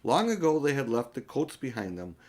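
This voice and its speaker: A man's voice: medium pitch, very animated, brisk. An older man reading a storybook aloud to children as an audiobook narrator, now and then stumbling over a word.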